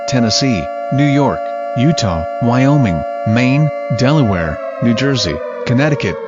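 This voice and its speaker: A man's voice: medium pitch, expressive, a little slow, loud music behind the voice. A man reading a scripted emergency broadcast announcement in a formal manner, as an alert announcer. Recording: dull lo-fi audio, loud noise.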